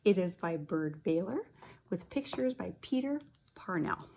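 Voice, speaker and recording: A woman kindergarten teacher reading a picture book aloud, with expressive stressed words and chatty asides to the children. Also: on the low side, unhurried, gravelly, a little hiss or hum.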